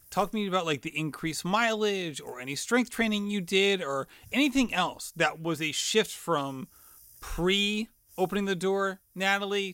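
A man's voice: high-pitched, fairly bright tone, no echo, clean studio sound. A man hosting a podcast, asking enthusiastic, rambling questions full of "like"s. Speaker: podcast host. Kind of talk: casual podcast interview.

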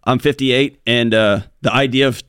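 A man's voice: mid-range voice, fairly even intonation, normal speed, a bit bright, smooth, no room sound, studio quality. A man co-hosting a talk show, speaking earnestly in casual conversation with frequent restarts. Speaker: co-host.

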